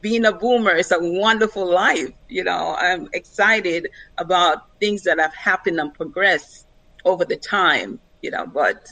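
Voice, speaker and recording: A woman's voice: fairly low pitch, lively intonation, a little slow, pushed and bright, no room sound, low-quality recording. An older woman speaking casually and personally as a guest on a panel discussion.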